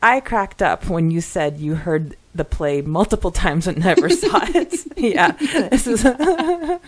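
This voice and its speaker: A woman's voice: mid-range voice, animated, unhurried. A woman co-hosting a podcast, giggly, reading out listener comments in a casual chatty style. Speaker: podcast co-host.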